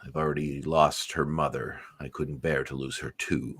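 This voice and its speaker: A man playing a menacing older male supervillain and father in a radio play, speaking with slow deliberation. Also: a bass voice, level tone, a bit bright, husky, no echo, full character voice. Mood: grave. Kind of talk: live radio-play script reading.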